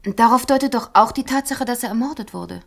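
A woman's voice: a little high, fairly quick, vocal fry. An actress playing a self-possessed, guarded businesswoman in a radio crime play, articulate and matter-of-fact. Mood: composed, explaining. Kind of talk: 1970s radio play dialogue.

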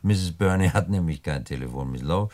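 A middle-aged gentleman schemer in a radio drama. His low voice rises and falls with great animation. He is unctuous and courteous, pausing delicately before each euphemism and relishing his own phrases. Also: animated. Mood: smooth, scheming. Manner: unctuous, courteous, hesitant euphemisms, savouring words. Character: suave older gentleman crook, smug, scheming gourmand. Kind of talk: radio play acting.

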